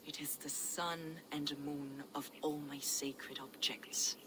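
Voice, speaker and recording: A deep female voice, playing a devout and secretive heretic in a game. She speaks in measured, archaic riddles, with clear ambience behind her. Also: steady tone, background noise. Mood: cryptic. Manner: measured, cryptic, archaic phrasing. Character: enigmatic, devout heretic woman, collector of sacred relics. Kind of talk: dramatic video game acting.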